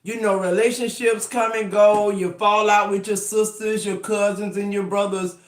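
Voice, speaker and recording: A man's voice: high-pitched, flat delivery, moderate pace. A man in his fifties giving a casual tarot reading, talking emphatically with profanity and rambling restarts. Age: 50s. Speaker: tarot reader. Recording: echoey room.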